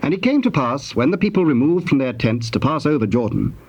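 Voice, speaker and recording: A man's voice: medium pitch, animated, darkish tone. Solemn male narrator on a noisy tape. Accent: British. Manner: solemn, formal, deliberate phrasing. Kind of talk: scripted dramatic Bible narration. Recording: dull, noisy old cassette tape.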